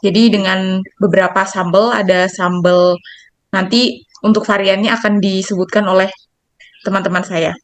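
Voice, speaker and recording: A woman's voice: medium pitch, fairly even intonation, moderate pace, pauses often, no room sound. A young woman student presenting her group's product in class, reading slides and repeating her points. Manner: reads slides, repeats herself.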